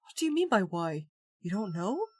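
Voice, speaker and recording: A woman reading a visual novel aloud in her own voice, voicing a calm, protective young man with a plain, reassuring delivery. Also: medium pitch, moderate pace, husky.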